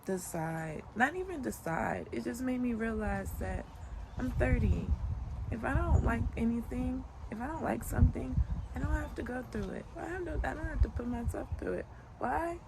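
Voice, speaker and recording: A woman's voice: a little high, moderate pace, noisy background. A woman in her late twenties chatting casually and reflectively outdoors in the country, rambling with lots of fillers. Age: late 20s. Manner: relaxed, rambling, many "like" fillers. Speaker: vlogger. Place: outdoors on a sunny morning in the country. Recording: clear outdoor ambience behind.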